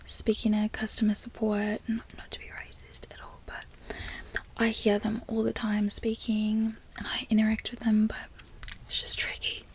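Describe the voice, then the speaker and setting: A woman whispering close to the microphone in a put-on Australian accent, chatting in a soft, unhurried way for an ASMR product review. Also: on the high side, rough recording. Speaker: ASMR creator. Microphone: close sensitive microphone.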